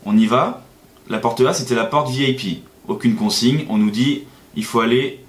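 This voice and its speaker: A man's voice: mid-range voice, moderate pace. A young man from France, a native French speaker, casually recounting a day step by step as a vlog guest. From Nantes, France. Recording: echoey, roomy sound.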